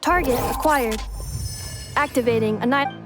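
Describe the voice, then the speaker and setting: A woman performs a polite, literal-minded robot girl for a game. The voice is on the high side, formal and clipped, with detached delivery. Music plays clearly behind it. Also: normal speed, close to an everyday voice. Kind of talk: video game voice acting.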